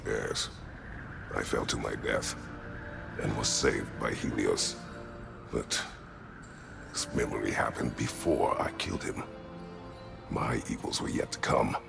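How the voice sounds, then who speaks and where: An actor playing a grim, brooding older warrior god in a video game, terse and grave with a gravelly voice. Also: male voice, extremely low pitch, takes it slowly, long pauses, music in the background, exaggerated voice.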